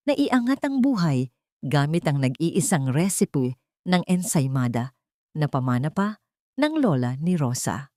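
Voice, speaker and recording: Female Filipino narrator, lower register, measured dramatic storytelling, dry recording. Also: dark tone, dry recording.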